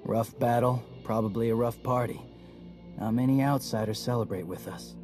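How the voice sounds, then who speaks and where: A man's voice: medium pitch, normal speed, close to an everyday voice. A man playing a stoic, battle-weary mercenary soldier in a video game, blunt and plain-spoken. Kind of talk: video game cutscene acting. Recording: music behind the voice.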